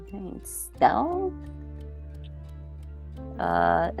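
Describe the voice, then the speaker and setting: Female tabletop player, slightly low register, casual improvised talk over background music. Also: wildly swooping pitch, very slow, gravelly. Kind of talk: casual game chat and in-character talk.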